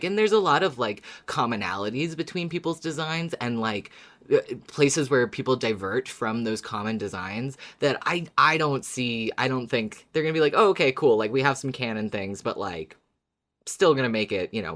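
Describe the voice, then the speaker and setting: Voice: medium pitch, fairly bright tone. Informal podcast co-host, thinking aloud. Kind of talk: casual podcast discussion.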